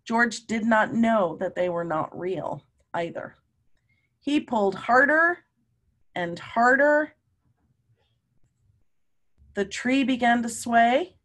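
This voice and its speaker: Middle-aged woman, teacher, storybook read-aloud, slightly high pitch, expressive, explanatory asides. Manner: expressive, emphatic, pausing to question and explain. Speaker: teacher reading aloud to students. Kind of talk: children's story read-aloud with questions to listeners. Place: small room at home.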